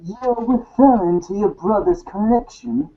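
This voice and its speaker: A woman playing a snooty, wordy, analytical teenage girl in a read-along fan theater show, speaking deliberately. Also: fairly high pitch, creaky, dull audio. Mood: smug, analytical. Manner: deliberate, wordy, stumbles on long words.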